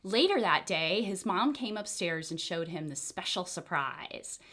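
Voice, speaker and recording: A woman reading a storybook aloud for children in an expressive read-aloud style. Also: lowish voice, measured pace. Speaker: children's book reader.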